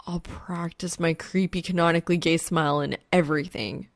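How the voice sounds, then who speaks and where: A woman voicing an exasperated, sweary young man who mutters and wheezes in a deadpan way. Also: fairly low pitch, normal speed, no room sound, clean studio sound, natural voice.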